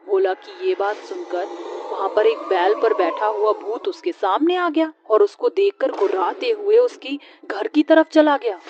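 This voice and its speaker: A storyteller narrating a scripted horror story in the manner of a tale read aloud. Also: mid-range voice. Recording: dull lo-fi audio, faint noise.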